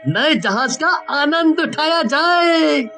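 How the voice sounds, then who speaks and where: A woman voicing boastful, excitable townsmen in a cartoon story. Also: sits high, takes it slowly, faint music behind, full character voice. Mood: eager.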